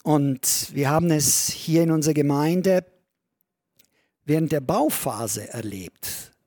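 A man preaching a sermon in a church, earnest, rising loud on key phrases and sinking to a near whisper. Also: a little high, slow pace, no echo, clean studio sound. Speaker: pastor.

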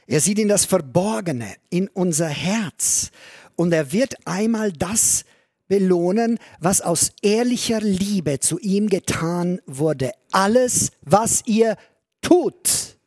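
High-pitched guy preaching, earnest, goes loud then real quiet, dry close sound. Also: expressive, slow, no echo. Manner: earnest preaching, swells loud on key phrases, drops to near whisper. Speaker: pastor. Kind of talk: sermon.

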